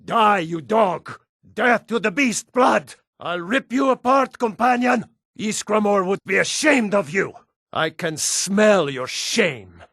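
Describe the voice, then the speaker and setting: A man's voice: high-pitched, expressive, takes it slowly, creaky, fairly bright tone, no room sound, clean studio sound, heavily acted. A man voicing a proud, stern Nord warrior for a fantasy video game, with a solemn, commanding and terse delivery. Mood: furious battle cries. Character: gruff proud Nord warrior, stern battle-hardened brother-in-arms.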